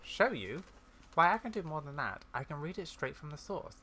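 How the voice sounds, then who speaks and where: Man putting on a bright, bookish old granny. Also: on the high side, big pitch swings, moderate pace, pitched above the performer's own voice. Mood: eager, theatrical. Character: kindly, bookish old granny scholar in a library.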